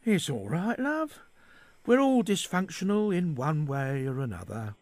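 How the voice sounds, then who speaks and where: A man's voice: on the high side, sing-song, measured pace, a little mellow, no echo. A man with a British accent voicing a casual, matey man who is a bit bewildered.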